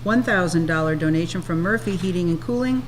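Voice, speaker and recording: A woman on a town council making formal motions, reading agenda items in a measured way with small hesitations. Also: on the low side, slight background noise, low-quality recording.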